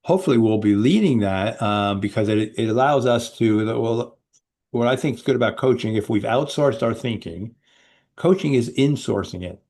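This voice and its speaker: A middle-aged man hosting an interview as a coach, enthusiastic, with quick interjections and a few stammering restarts. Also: medium pitch, a little mellow. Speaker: host and coach. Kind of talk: interview host chat.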